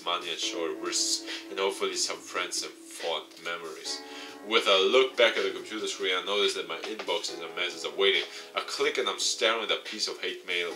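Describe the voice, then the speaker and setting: A man, a gaming YouTuber, reads visual novel text aloud with his own asides, stumbling over words at times. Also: sits low. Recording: music playing behind the voice.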